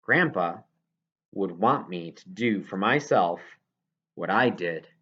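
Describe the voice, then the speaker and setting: Male audiobook reader for a children's novel: lowish register, animated delivery, dull recording. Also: slow pace, husky. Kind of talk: scripted story narration of a children's novel in first person.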